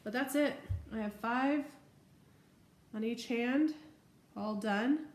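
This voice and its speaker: A woman's voice: on the high side, slow pace. A woman chatting informally as she narrates a hands-on nail tutorial step by step. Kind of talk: casual hands-on demonstration chat. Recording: echoey room with faint background noise.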